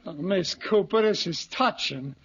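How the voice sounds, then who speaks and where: An actor playing an earnest, dim, lovesick butcher in an old radio sitcom, gushing in a folksy way, on an old recording. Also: male voice, sits high, animated, unhurried, fairly bright tone, slightly rough, dull audio, heavily acted. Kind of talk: 1940s-50s radio sitcom acting.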